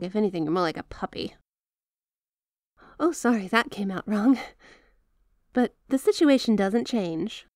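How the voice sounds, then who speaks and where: A woman acts out a young crime-family heiress who is shyly smitten and apologetic. She speaks at an unhurried pace, conversational and hesitant, with soft teasing. The sound is dry and intimate, in the manner of an ASMR roleplay. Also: fairly high pitch, no room sound. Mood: teasing, flustered.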